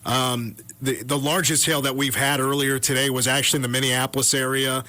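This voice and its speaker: A man co-hosting live radio weather coverage, commenting off the cuff on storms and thinking aloud with halting self-corrections. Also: medium pitch, little pitch movement, pushed and bright, dry recording.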